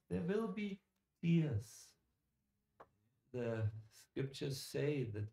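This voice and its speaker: A man giving a sermon as a religious teacher, telling scripture stories deliberately, with dramatic pauses and direct appeals to his listeners. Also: medium pitch, vocal fry, a little mellow. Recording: echoey lecture hall sound.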